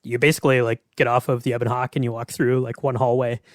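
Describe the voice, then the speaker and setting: A man with a medium-pitched voice chats casually on a podcast. The sound is very dry, with hardly any room around it. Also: little pitch movement, fast talker, no room sound.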